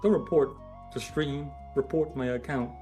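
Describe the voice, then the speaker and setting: A man's voice: mid-range voice, normal speed, music in the background. Playful man, non-native English speaker. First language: not English. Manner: playful, teasing, blunt. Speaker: streamer co-host. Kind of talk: casual livestream chat.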